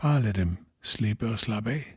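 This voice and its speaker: A man guides a meditation slowly and calmly, giving gentle instructions with long pauses. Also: on the low side, husky, no room sound, studio quality.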